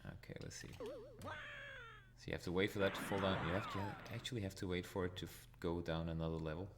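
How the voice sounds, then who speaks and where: A Danish-accented man commentates live on a retro game. His voice sits low, with a gravelly, fry-laden edge. He thinks aloud as he plays over clear background noise. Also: wildly swooping pitch, brisk. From Denmark. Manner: thinks aloud, chuckles at his own deaths. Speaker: retro gaming channel host.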